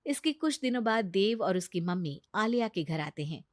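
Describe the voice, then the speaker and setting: A woman's voice: medium pitch, lively intonation, normal speed, no echo, clean studio sound. A woman narrating a scripted story in a dramatic storytelling style.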